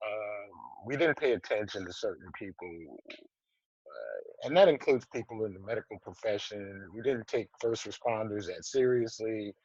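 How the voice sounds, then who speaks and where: A man hosting a casual interview over a video call, relaxed and hesitant, with many pauses and restarts. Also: on the low side, slightly rough, no room sound. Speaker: interview host, film festival producer. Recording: dull, dry video-call audio.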